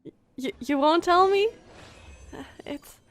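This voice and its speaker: A woman's voice: extremely high pitch, unhurried, dry recording. A woman game streamer giving casual live commentary, musing and reacting aloud as she plays.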